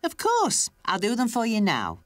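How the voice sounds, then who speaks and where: A woman's voice: fairly high pitch, huge pitch swings, moderate pace, fairly bright tone, no echo, studio quality. A woman with a British accent playing an obliging, friendly village baker in a children's cartoon, helpful and chirpy.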